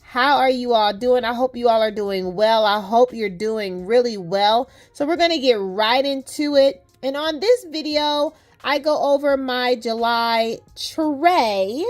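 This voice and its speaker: A woman vlogging about fragrances in a lively, chatty way, using playful slang. She says her nose is a little stuffy. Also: a little high, unhurried, hardly any pauses. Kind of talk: casual chat to camera.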